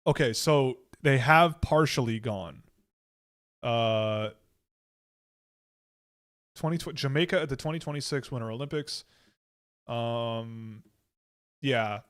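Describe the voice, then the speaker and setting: A young man hosting a livestream, rambling excitably with frequent restarts. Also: medium pitch, slow, long pauses, smooth. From Canada. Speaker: livestream host. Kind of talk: casual livestream chat.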